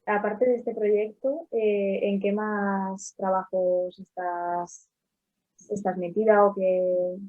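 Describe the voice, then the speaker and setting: A woman's voice: mid-range voice, little pitch movement, takes it slowly. A woman interviewing an artist over a video call, asking polite questions and giving brief acknowledgements. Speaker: interviewer, host. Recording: tinny phone-like line.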